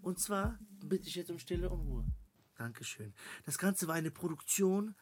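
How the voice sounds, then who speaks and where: A man's voice: high voice, expressive, moderate pace, breathy edge. A man who works as an actor chats casually and informally through a radio drama's end credits, using slang as he thanks colleagues.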